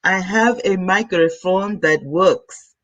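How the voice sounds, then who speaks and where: A woman YouTuber, chatting excitedly to her viewers from home while she sets up a new microphone. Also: on the low side, takes it slowly, highs cut off, slight background noise. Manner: chatty, excitable, talks to chat. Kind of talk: casual vlog chat to viewers. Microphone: camera mic, then a new plug-in USB mic.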